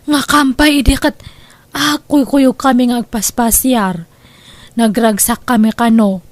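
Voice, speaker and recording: A woman's voice: on the high side, fairly even intonation, measured pace, no echo, lightly acted. A woman with an Ilocano accent playing a reluctant, stubborn young girlfriend in a comedy drama. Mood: wistful. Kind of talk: comedy radio-style drama acting.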